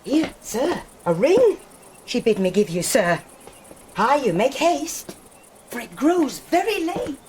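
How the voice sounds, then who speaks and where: Fussy chatty old nurse, radio shakespeare, highish swoopy voice, bit of night noise behind. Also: female voice, sing-song, slow, heavily acted. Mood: urgent.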